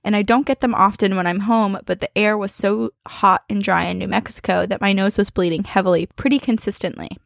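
A woman podcast co-host with a noticeably creaky voice, recorded close and dry. She speaks in an expressive, conversational way, as if reading listener mail to friends, at a middle pitch. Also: fairly quick, hardly any pauses, dry recording. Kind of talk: casual chat and reading listener emails aloud.

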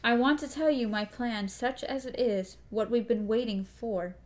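An excitable young sidekick, cheerful and fond of a joke, as a woman reading aloud puts him on. He talks eagerly in a storybook reading. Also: fairly high pitch, normal speed, natural voice. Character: excitable young male companion, joking and cheerful.

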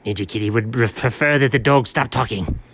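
A man's voice: mid-range voice, fairly quick, fairly bright tone, breathy edge. A man voicing a touchy, boastful ninja cat who sounds like a pirate, with a badly imitated British accent and a theatrical, indignant manner. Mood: indignant, threatening. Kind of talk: comic cartoon dialogue.

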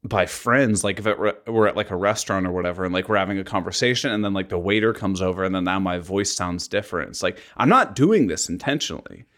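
A man with a very low voice talks casually as a podcast co-host, rambling and leaning heavily on "like". He sits close to a voice mic clamped a couple inches from his mouth in an apartment, so the sound is near and intimate. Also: fast talker, hardly any pauses, a bit bright. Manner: rambling, heavy use of "like".

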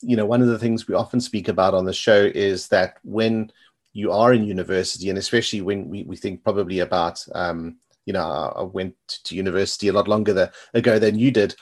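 Podcast host: middle-aged man, lowish voice, quick talker, rambles through questions. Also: steady tone. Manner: fast, rambling questions with repeated "you know". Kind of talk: interview questions.

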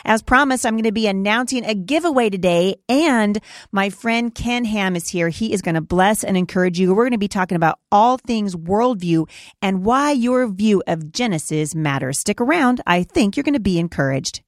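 A woman's voice: mid-range voice, animated, normal speed, dry recording, studio quality. Upbeat middle-aged woman hosting a podcast. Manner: upbeat, encouraging, chatty. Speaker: podcast host.

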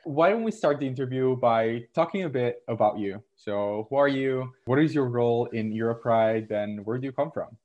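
A man's voice: mid-range voice, moderate pace, hardly any pauses, darkish tone. A young man hosting a podcast, asking friendly questions in a casual way. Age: young adult. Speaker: podcast host. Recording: dull sound with faint guitar music.

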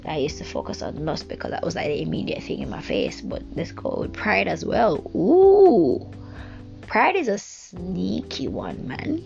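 Jamaican woman, low and croaky, preaching in an informal, rambling style over background music. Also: huge pitch swings, unhurried, darkish tone. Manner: earnest, rambling, with frequent fillers. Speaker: devotional speaker. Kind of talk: informal devotional talk. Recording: dull lo-fi recording with music behind.

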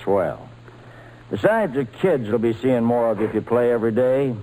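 An actor playing a steady, fatherly baseball manager in an old-time radio drama, speaking in an avuncular, persuasive way. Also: mid-range voice, normal speed, lightly acted, rough recording. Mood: reassuring, persuasive.

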